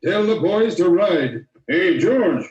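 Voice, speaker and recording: A commanding American sheriff in an old radio western, his pitch high and lively. He rallies men in a muffled, old recording. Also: drawn-out words, echoey room, recorded from a distance, heavily acted. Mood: urgent. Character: brisk commanding male western sheriff rallying a posse.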